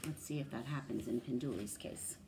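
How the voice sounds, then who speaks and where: A woman in her thirties reading a children's story aloud and pausing to explain words, thinking aloud like a teacher. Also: a bass voice, creaky, mellow, slight background noise, poor audio. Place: small room at home, indoors.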